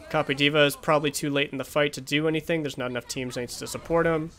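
A man's voice: on the high side, flat delivery, quick pace, a bit bright, a little hiss or hum. A young man reviewing an esports match as a coach, thinking aloud with many fillers, his voice tired after hours of streaming, on a home streaming mic. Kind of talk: casual match review commentary.

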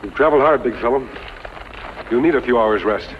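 A man's voice: medium pitch, a little fast, natural voice. An actor playing an earnest, righteous masked lawman in a 1950s radio western, speaking precisely and declaiming. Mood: earnest, resolute. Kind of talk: 1950s radio drama acting. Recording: muffled, hissy old radio recording.

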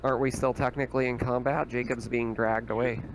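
A man's voice: mid-range voice, little pitch movement, a little fast, slight background noise, rough recording. A man playing a tabletop roleplaying game, chatting casually.

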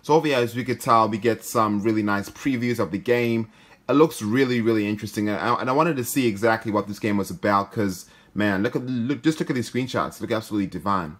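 Low-pitched man giving casual gaming commentary. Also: fast talker. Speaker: gaming video host. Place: indoor room, filming a handheld phone.

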